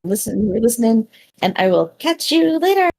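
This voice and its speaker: A woman's voice: fairly high pitch, very animated, normal speed, darkish tone. A woman chatting casually as a podcast panelist in an effusive, affectionate manner. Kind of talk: casual chat.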